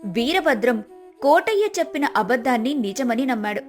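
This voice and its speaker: A woman's voice: a little high, lively intonation, normal speed, faint music behind. A woman narrator telling a scripted folk tale.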